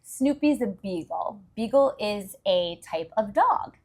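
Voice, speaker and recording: A woman's voice: mid-range voice, expressive, slow. A woman reading a storybook aloud to young children, with encouraging, explanatory asides.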